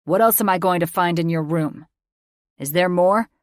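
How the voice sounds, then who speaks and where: A cold, controlling father, as a woman narrator puts him on, his voice pushed very low and hard. The accusations come clipped and menacing in a dry, close audiobook recording. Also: moderate pace, no echo, close to an everyday voice. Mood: angry, accusing. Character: cold, controlling, furious middle-aged father. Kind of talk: audiobook character voice.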